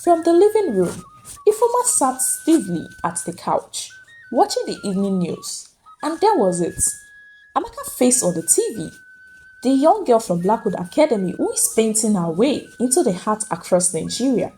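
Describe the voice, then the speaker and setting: A woman narrates a scripted folktale with great drama. Her voice sits fairly high and swings widely in pitch, with soft music behind it. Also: sing-song, moderate pace, poor audio. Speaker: storyteller, narrator. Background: faint music.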